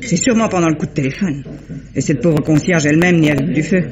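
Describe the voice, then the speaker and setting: An actress playing a resourceful, smooth-tongued lady sleuth in a 1950s French radio mystery, articulate and polite. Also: deep voice, crowd noise, lightly acted. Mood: vexed. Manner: polite, quick-witted, articulate. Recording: dull old radio recording.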